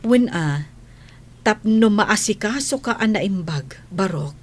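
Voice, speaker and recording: A woman's voice: medium pitch, animated, slow pace, darkish tone. A woman playing a caring, worried nanny in a radio drama, with a concerned, motherly manner. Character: caring, worried household nanny to a young man.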